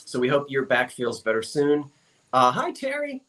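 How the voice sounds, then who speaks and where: A man's voice: medium pitch, huge pitch swings, moderate pace, a bit bright. Chatty rambling man hosting a live stream. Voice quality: frequent fillers and self-corrections. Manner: chatty, rambling, reads out viewer comments. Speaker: live-stream auction host. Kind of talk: casual live-stream chat.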